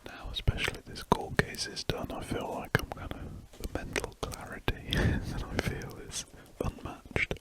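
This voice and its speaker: A man's voice: normal speed, few pauses. A man whispering throughout as he chats casually while drawing, an ASMR host who rambles and corrects himself mid-sentence. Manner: soft unvoiced whisper, rambling and self-correcting.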